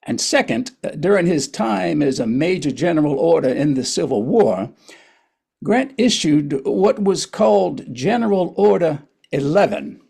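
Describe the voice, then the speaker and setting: A man's voice: fairly high pitch, big pitch swings, a little slow, gravelly, mellow, husky. A man narrating a historical play in a scripted but conversational way.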